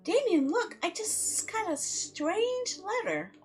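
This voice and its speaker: A woman's voice: extremely high pitch, huge pitch swings, takes it slowly, faint music behind, pitched above the performer's own voice, full character voice. A woman voicing a puzzled, earnest young heroine from a visual novel.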